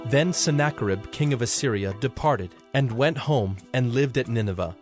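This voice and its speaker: A man's voice: mid-range voice, normal speed, faint music behind. A man narrating a dramatized scripture reading in a formal, solemn manner.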